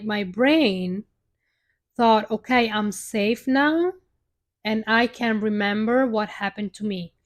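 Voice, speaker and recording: A young woman with an Italian accent, a native Italian speaker, telling her own story on a live stream in an earnest way, repeating and restarting phrases as she goes. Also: on the high side. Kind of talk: personal storytelling monologue.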